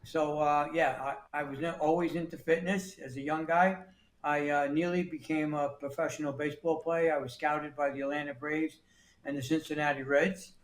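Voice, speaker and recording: A man's voice: a little high, level tone, normal speed. Echoey-sounding man in his sixties from New York. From New York City. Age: 60s. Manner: plain-spoken, repeats words and restarts often. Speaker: guest. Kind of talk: casual interview talk.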